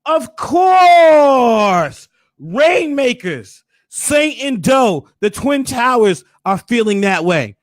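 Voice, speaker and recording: A hoarse man hosting a casual recap, hyping things up with preacher-like flourishes. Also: very high-pitched, very animated, extremely slow, dry recording, studio quality. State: hoarse, losing his voice. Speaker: host.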